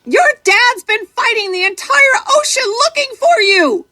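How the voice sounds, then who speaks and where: A woman voicing a friendly male pelican, exclaiming excitedly in a children's storybook. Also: very high-pitched, unhurried, pitched above the performer's own voice, full character voice.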